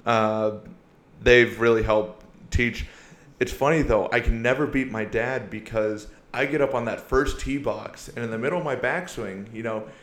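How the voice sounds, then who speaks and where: Animated young man in an echoey room. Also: medium pitch, normal speed. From born in South Korea, raised in Iowa and Asia. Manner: animated storyteller, laughs often, rhetorical questions. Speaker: podcast guest. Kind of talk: casual chat.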